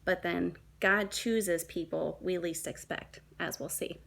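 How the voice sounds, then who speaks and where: A woman, a young adult, reading a children's story aloud in a dramatic, deliberate way with shifts between emphasis and hush. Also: on the low side, fairly even intonation, vocal fry. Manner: dramatic, deliberate phrasing with hushed and emphatic moments.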